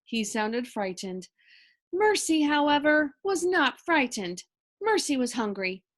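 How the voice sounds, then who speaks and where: A woman reading a children's story aloud with expressive narration. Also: high voice, slow.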